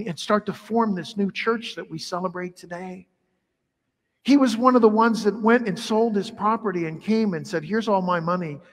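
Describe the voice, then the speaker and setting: High-voiced priest preaching in a reverberant church. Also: male voice. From Ireland heritage. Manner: animated, conversational preaching with wide arm gestures. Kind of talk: sermon. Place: large church sanctuary at the altar.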